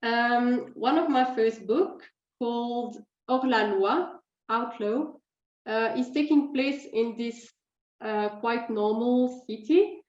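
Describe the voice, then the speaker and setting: A woman's voice: fairly high pitch, slow, darkish tone, reverberant, muffled recording. A young woman with a Swiss French accent, a writer talking about her books in English with many fillers and restarts. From French-speaking Switzerland.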